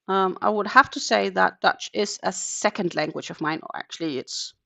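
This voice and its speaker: A woman's voice: medium pitch, vocal fry, fairly bright tone. A woman in her thirties, a native Danish speaker, chatting casually on a live crochet stream and counting her stitches aloud from her home. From Denmark. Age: 30s. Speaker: crochet channel host. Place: home in the Netherlands. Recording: dull lo-fi live-stream audio.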